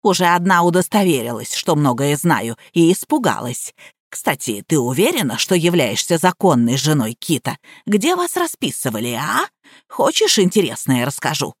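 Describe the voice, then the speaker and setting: A woman's voice: deep voice, sing-song, moderate pace, breathy edge, no room sound, studio quality, pitched below the performer's own voice, heavily acted. A woman voicing a haughty old schemer in an audiobook, drawling with theatrical, saccharine sweetness. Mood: haughty, sly.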